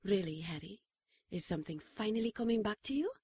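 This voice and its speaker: An actress playing a solemn, stern, mystical woman in a radio drama, speaking in a formal, measured way. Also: medium pitch, lively intonation, a little mellow, breathy edge. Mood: reproving. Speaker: radio drama actor and narrator. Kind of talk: radio drama narration and dialogue.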